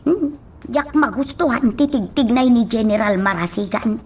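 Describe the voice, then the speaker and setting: An actress with an Ilocano accent playing an uneasy, secretive house servant in a radio drama, halting and evasive. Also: female voice, on the high side, animated, far from the mic, background noise, lightly acted. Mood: uneasy, guarded. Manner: hesitant, slow, halting.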